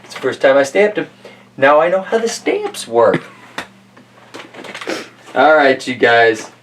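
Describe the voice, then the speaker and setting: A man's voice: medium pitch, sing-song, slow, a bit bright, distant mic, a little hiss or hum. A man reading a children's story aloud, with casual, folksy, rambling asides as host.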